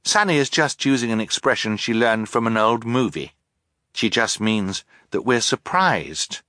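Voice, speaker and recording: A man reads as a sensible young girl in a storybook. The delivery is courteous and earnest, gently pleading, with animated intonation and a bright tone. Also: medium pitch, measured pace, no room sound, studio quality, pitched above the performer's own voice. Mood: hasty, placating. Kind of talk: audiobook character voice.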